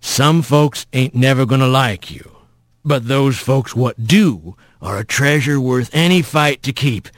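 Man voicing a soft, rough, deep folksy spirit creature. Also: unhurried, no room sound, studio quality, natural voice. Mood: gentle, fatherly. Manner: rustic drawl with folksy grammar. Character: dark, huge, mocking folksy paternal spirit creature.

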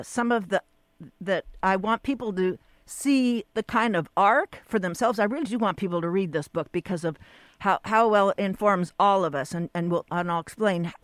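A woman hosts a radio interview program in a voice of middle pitch. She reads a formal introduction and then asks questions, restarting some sentences. The studio sound is dry and close. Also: no echo. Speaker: radio show host.

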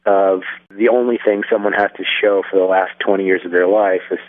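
A man's voice: sits low, level tone, brisk, no echo. A young man, a filmmaker, answering interview questions on a phone line, thoughtful and full of restarts. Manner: thoughtful, many restarts and fillers. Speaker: filmmaker guest. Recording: phone-line sound, dry.

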